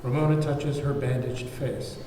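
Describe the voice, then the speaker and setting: A man's voice: mid-range voice, steady tone, unhurried, warm tone. A man narrating, reading out the stage directions of a script at a table read. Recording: very echoey room, faint background noise.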